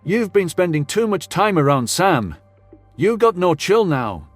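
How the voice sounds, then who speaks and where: A man's voice: high-pitched, big pitch swings, unhurried, no echo, soft background music, pitched above the performer's own voice, full character voice. A man voicing a brash, indignant male commanding officer with blunt, slangy, sarcastic speech in a sci-fi audio story. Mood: exasperated.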